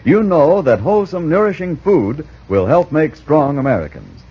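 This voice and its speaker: A male 1940s radio announcer delivers a scripted sales pitch with an animated, swooping melody. His delivery is persuasive and emphatic, and the vintage broadcast sound is slightly dull and husky. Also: moderate pace.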